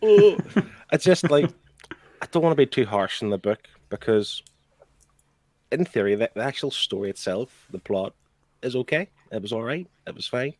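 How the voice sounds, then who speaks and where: A man's voice: mid-range voice, expressive, moderate pace, a little mellow, no echo. A man giving his opinions as a panelist in a casual, blunt, conversational way. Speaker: comic book show panelist.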